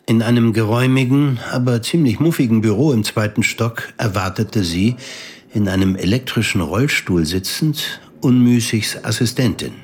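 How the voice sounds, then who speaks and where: A man's voice: medium pitch, moderate pace, darkish tone, a little hiss or hum. A man narrating a radio play in scripted literary prose.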